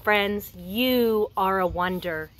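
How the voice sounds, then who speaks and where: A woman in her thirties, a preschool teacher, reading a picture book aloud to young children outdoors in a gentle, expressive voice. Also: medium pitch, slow pace, poor audio. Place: outdoors in front of a house.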